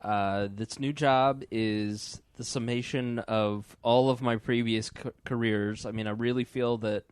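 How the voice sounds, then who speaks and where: A man's voice: medium pitch, fairly even intonation, unhurried, hardly any pauses, smooth, no echo. A man hosting a podcast in casual conversation, with a teasing, joking way of talking. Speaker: podcast host.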